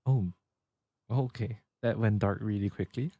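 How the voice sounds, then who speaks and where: A man's voice: fairly low pitch, measured pace, mellow. A man giving casual live commentary on a game, reacting in short murmured asides as a streamer.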